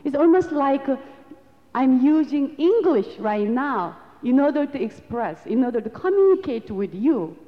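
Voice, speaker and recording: A Korean-accented woman whose voice sits a little high and moves slowly and deliberately. She lectures in earnest, reflective, non-native English. The sound is dull and carries a long hall reverb. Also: big pitch swings. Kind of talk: lecture to an audience.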